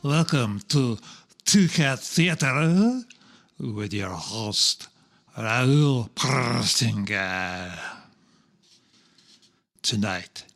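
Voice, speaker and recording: A man narrating a radio serial in a scripted, dramatic style, delivering it deliberately in short, separated phrases. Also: mid-range voice, fairly bright tone.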